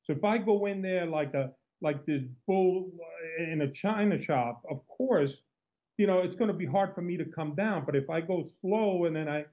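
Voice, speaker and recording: A man's voice: high-pitched, moderate pace. A man with a Cuban accent, a former undercover agent, telling stories in an outgoing way as an interview guest. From Cuba. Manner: outgoing, storytelling, frequent restarts. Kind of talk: interview conversation. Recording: tinny phone-like line.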